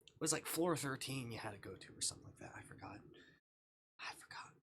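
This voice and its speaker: A man reads a visual novel aloud on a livestream in a bright, forceful voice. His delivery is theatrical and very lively in pitch, moving between narration and reaction. A faint noise sits behind him. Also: fairly quick. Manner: theatrical, swings from hushed narration to shouting.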